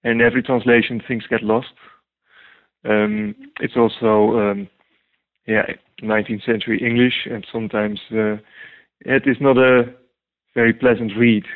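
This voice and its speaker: A man's voice: mid-range voice, little pitch movement, a little slow, long pauses. A man from the Netherlands with a Dutch accent, answering interview questions hesitantly with many ums and restarts. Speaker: guest translator. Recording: tinny phone-like line.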